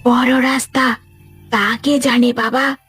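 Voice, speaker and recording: A woman playing a sly, menacing old ghost crone in a cartoon horror story, with a cackling voice and a drawn-out, taunting manner. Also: fairly high pitch, level tone, a bit bright, breathy edge, soft background music, full character voice. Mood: coaxing, sly.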